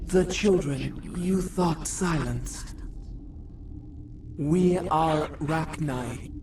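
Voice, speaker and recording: An otherworldly insectoid alien queen from a video game, speaking through a possessed female host. The delivery is stately and drastically slow, lowish and breathy, breaking into halting half-whispers. It sounds distant, with clear ambient noise around it. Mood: eerie, solemn. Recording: distant voice over noisy ambience.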